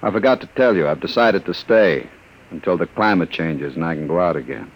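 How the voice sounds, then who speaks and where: Low-voiced, sardonic gangster. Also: male voice, lively intonation, brisk. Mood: cool menace. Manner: suave, sardonic, commanding. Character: smooth-talking, menacing male gangster on the run. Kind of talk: old-time radio drama acting. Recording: dull, muffled vintage radio recording.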